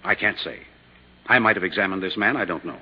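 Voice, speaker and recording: An actor playing a belligerent, defensive doctor in a 1950s radio drama, curt, indignant and husky. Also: male voice, sits low, fairly quick. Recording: dull, lo-fi old radio recording.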